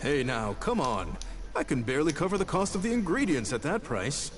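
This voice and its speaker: An actor playing a proud, good-natured restaurant chef in an anime video game, speaking theatrically. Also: male voice, a little high, big pitch swings, a little fast. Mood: bargaining. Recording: game music behind the voice.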